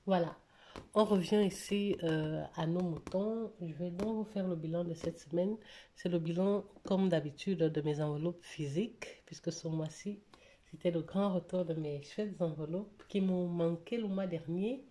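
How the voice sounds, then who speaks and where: A woman's voice: lowish voice, normal speed, mellow. A woman vlogging about her weekly budget, talking casually with many hesitations, slightly stuffy with a cold. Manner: hesitant, many fillers and restarts. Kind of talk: casual budget vlog to camera.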